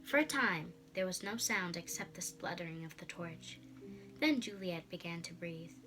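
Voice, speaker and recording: Bright-voiced female storybook narrator. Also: fairly low pitch, moderate pace, faint music behind, low-quality recording. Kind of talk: dramatic read-aloud storytelling.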